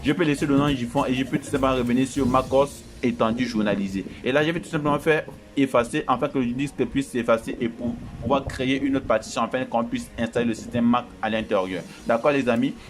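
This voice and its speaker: A man who does not speak French as his first language, talking viewers through a computer setup in a casual, reassuring voice-over and calling them his friends. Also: medium pitch. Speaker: tech tutorial host. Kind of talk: screen-recorded tutorial walkthrough. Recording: voice-over with loud background music.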